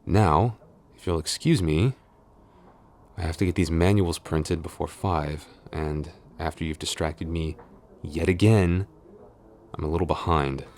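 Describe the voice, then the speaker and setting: Casting: male actor as grumbling but smitten tsundere office boyfriend, extremely low voice, intimate and hesitant ASMR roleplay delivery, audible background noise. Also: unhurried, darkish tone. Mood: exasperated, firm. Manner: hesitant, mock-scolding, intimate.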